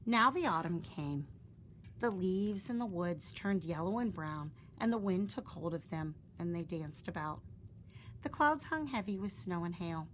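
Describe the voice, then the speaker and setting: A woman reading a children's fairy tale aloud in a gentle, expressive storytelling manner. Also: fairly low pitch, unhurried, slight background noise, poor audio.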